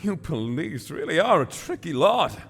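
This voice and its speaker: A man's voice: a little high, big pitch swings, moderate pace, gravelly, background noise. A man playing a suave, theatrical master thief in an audio drama, smug and easily flustered. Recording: music behind the voice.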